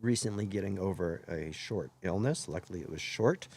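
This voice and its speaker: A hoarse man hosting his own live stream, talking earnestly in a rambling way and often correcting himself mid-sentence. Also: low-pitched, moderate pace, darkish tone. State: hoarse after illness. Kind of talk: casual live-stream monologue.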